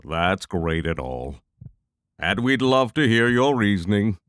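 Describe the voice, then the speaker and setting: A man playing a wry, cautious young lightning mage in a fantasy audio drama, talking in a measured, wordy way. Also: lowish voice, big pitch swings, a bit bright, smooth, heavily acted. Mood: resigned.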